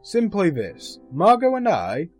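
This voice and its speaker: A man's voice: high-pitched, huge pitch swings, measured pace, soft background music, heavily acted. A man playing a devoted, easygoing fiancé in an audio drama. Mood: cheerful.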